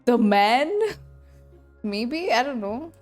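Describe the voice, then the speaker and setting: A woman's voice: fairly high pitch, animated, slow pace. A woman gaming streamer giving casual playthrough commentary and reading game text aloud. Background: game music.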